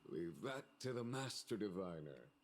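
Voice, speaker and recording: An actor playing a wounded, composed general in a video game, measured and weary. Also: male voice, low voice, big pitch swings. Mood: weary, calm. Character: wounded, composed male general, calm and stoic. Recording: game audio with faint background noise.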